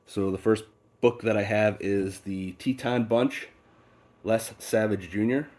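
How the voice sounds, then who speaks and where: Deep-voiced guy with a stuffy cold, laid back, lots of ums and uhs. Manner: relaxed and unhurried, with many fillers. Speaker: book channel host. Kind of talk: casual unboxing talk. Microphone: off-camera voice, faceless video.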